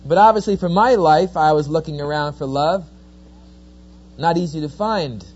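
Lively New Jersey man, dull lo-fi. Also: on the high side, moderate pace, a little hiss or hum. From New Jersey, USA. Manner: folksy, joking, lively storyteller. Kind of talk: sermon-style storytelling talk. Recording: dull lo-fi recording.

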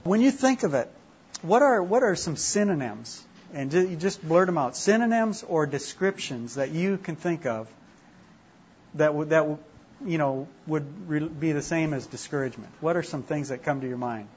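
A man's voice: fairly high pitch, animated, moderate pace, darkish tone, highs cut off, a little hiss or hum. A man from Minnesota with a Minnesota accent, leading an informal class discussion in a chatty, self-deprecating way. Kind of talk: informal group teaching.

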